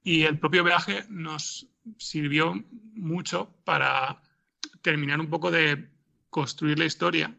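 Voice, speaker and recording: A man with a Spanish accent from Spain and a very creaky, fry-laden voice. He talks off the cuff as an interview guest, bright in tone, circling and repeating himself as he searches for words. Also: fairly high pitch, steady tone, slow pace. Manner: hesitant, rambling, with frequent repeated words and tag questions. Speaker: interview guest, podcast scriptwriter. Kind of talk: unscripted interview answers.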